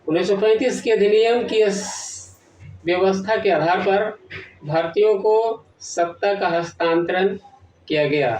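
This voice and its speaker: A man presenting a scripted educational lesson, reading formally in short, halting phrases. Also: high voice, darkish tone, a little hiss or hum. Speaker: presenter of an educational history channel. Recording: echoey room, distant from the mic.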